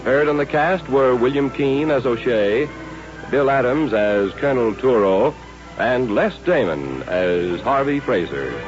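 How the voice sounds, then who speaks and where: A muffled vintage broadcast with faint music carries an American male announcer. He reads scripted credits in old-time radio style, with a lively, swinging intonation at a middling pitch. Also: unhurried.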